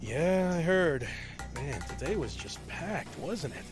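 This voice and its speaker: A tired, grumbling trooper in a video game. He talks slowly and casually at a middling pitch, with clear music behind him. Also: sing-song. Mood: weary, casual. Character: weary, grumbling male army soldier. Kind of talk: video game cutscene acting.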